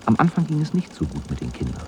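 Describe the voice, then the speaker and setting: An actor narrating a children's radio play as a plain-spoken storyteller with a husky voice. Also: male voice, on the low side, wildly swooping pitch, quick pace, a little hiss or hum. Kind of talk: scripted narration in a radio drama.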